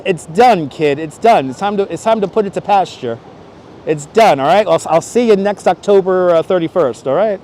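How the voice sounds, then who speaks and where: A man's voice: sits high, darkish tone. A man hosting a casual livestream outdoors, chatting playfully and exclaiming to his viewers as he goes. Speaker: livestream host. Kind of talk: casual livestream chat while exploring city streets. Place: outdoors on busy Manhattan streets. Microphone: wireless clip-on microphone. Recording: outdoor city street noise.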